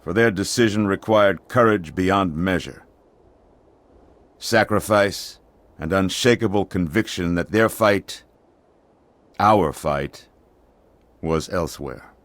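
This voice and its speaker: An actor playing a grave older male military commander in a video game, speaking in formal, measured oratory. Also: low-pitched, a bit bright, no echo. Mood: solemn, resolute. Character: gruff, solemn older male military commander giving a eulogy. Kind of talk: dramatic video game cutscene acting.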